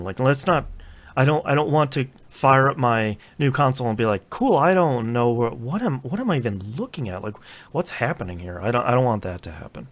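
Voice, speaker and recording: A man with a mid-pitched voice hosts a casual podcast. He talks informally and a bit haltingly, doubling back on words as he makes his point. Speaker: podcast host. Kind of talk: casual chat.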